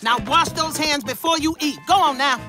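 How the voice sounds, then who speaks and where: Actor doing a high sassy grandma, bossy and doting, animated, cartoon, music behind. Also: moderate pace, lightly acted. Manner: folksy, sassy scolding with terms of endearment.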